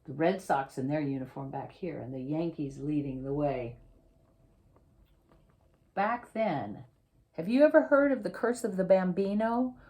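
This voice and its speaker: Lady with a low voice reading a kids book out loud, expressive, chatting to the kids about it. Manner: expressive, addresses young listeners directly. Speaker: children's author reading own picture book aloud. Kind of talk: picture book read-aloud with explanatory asides.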